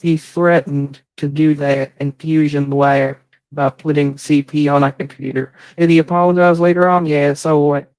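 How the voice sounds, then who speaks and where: A man's voice: fairly high pitch, little pitch movement, normal speed, a little mellow, dry recording, rough recording. A man in a casual livestream chat, hosting and speaking in a profane, aggrieved, defensive way. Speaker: livestream host.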